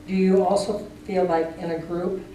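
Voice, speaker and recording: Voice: medium pitch, little pitch movement, normal speed, mellow. An interviewer speaking informally in a conversational exchange. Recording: echoey distant room recording, faint noise.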